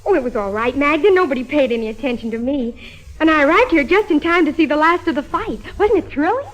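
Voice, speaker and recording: A woman playing a naive, defiant American high-school girl in an old-time radio drama, petulant and breathless. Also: lively intonation, quick pace, poor audio. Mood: eager. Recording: vintage radio recording, music behind.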